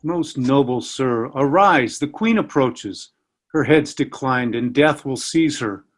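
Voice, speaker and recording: An amateur actor reading a loyal, respectful attendant in a Shakespeare play, urging and soothing. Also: male voice, mid-range voice, takes it slowly. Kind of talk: amateur Shakespeare read-aloud. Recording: video call with faint music.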